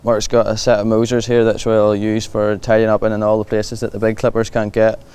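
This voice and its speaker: A man's voice: fairly low pitch, completely flat delivery, a little fast, darkish tone. A man with a Northern Irish accent giving a plain, practical, reassuring explanation as he commentates on a hands-on demonstration. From Northern Ireland. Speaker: livestock clipping demonstrator. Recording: clear buzzing clipper and machinery noise.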